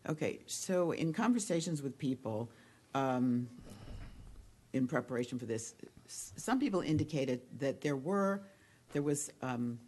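A woman commissioner questioning an official at a public meeting, feeling her way through halting, tentative phrases. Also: very deep voice, lively intonation, darkish tone. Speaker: county commissioner.

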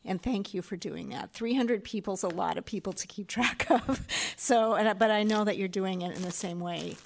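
A woman's voice: mid-range voice, moderate pace, vocal fry. A commissioner at a public meeting making brief, off-the-cuff remarks with a laugh in her voice.

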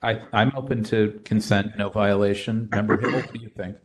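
A man chairing a public committee meeting, speaking in a measured way with hesitant restarts. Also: on the low side, little pitch movement, slight background noise.

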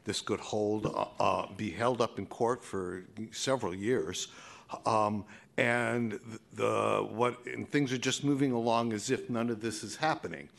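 Guy at a public mic, slow and gravelly, keeps asking why nobody was told. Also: lowish voice, fairly even intonation. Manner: pointed, questioning, halting with fillers. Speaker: public commenter. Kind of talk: public comment at a meeting.

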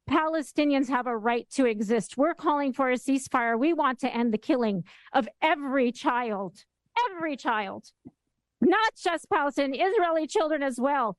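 Woman, from the Bay Area, prepared public comment, measured, declarative, slightly high voice. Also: no room sound. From San Francisco Bay Area. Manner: measured, declarative, repetitive rhetoric. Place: council chamber.

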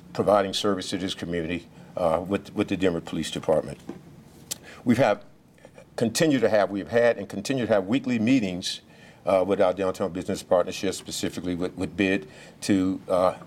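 A low-pitched man speaks as a police official at a press event, his voice loud even without a microphone. He talks haltingly, full of fillers and restarts. A faint hum of outdoor ambience sits behind him. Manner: speaks loudly without a mic. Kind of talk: press briefing remarks.

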